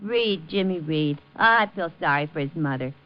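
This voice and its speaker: A woman playing a sharp-tongued, complaining New shopkeeper's wife in a 1950s radio drama, sardonic and full of rhetorical questions. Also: low-pitched, lively intonation, normal speed. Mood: sardonic griping. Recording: dull old 1950 radio recording.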